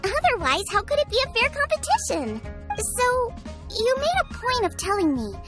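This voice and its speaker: A woman's voice: very high-pitched, wildly swooping pitch, normal speed, heavily acted. A woman voicing an upbeat, easygoing teenage girl chef in a video game, with a girlish, frank and encouraging delivery. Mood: reassuring. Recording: clean studio voice over game music. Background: tinkly children's-style game music.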